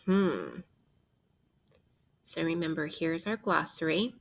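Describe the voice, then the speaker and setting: A woman teacher reading a picture book aloud to children in a deliberate, instructive way, posing questions as she goes. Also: low-pitched, very animated, gravelly.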